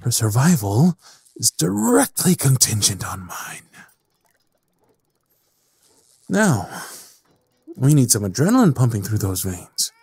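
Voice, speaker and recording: A man playing a menacing evil scientist in an audio drama, taunting with mock politeness in a rough, husky voice. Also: medium pitch, very animated, measured pace, a little mellow, echoey room. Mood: threatening. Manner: mockingly polite, theatrical, taunting. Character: smug, menacing male evil scientist villain. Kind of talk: audio roleplay drama acting.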